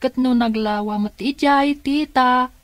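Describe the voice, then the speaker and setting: An actress playing a young niece in a radio drama, coaxing, with a Filipino accent. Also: female voice, sits high, unhurried, no echo. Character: young niece chatting with her aunt.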